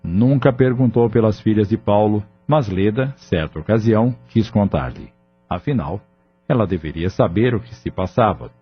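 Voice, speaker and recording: A man's voice: fairly low pitch, animated, normal speed, breathy edge, highs cut off. A Brazilian man reading scripted narration for an audio drama. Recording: band-limited recording with music bed. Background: music.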